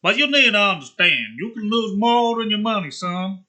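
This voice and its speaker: A folksy Western gambler with a high, sharp, loud voice. He scolds with drawn-out words, like a character in a cowboy movie. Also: expressive, heavily acted. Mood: scolding.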